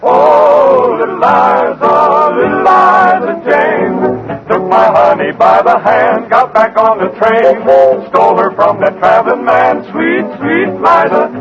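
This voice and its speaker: High, lively 1940s male group singing. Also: slow, pauses often. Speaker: singer in a vocal group. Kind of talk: lively 1940s close-harmony radio singing. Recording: muffled 1940s radio recording, loud music. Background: musical accompaniment.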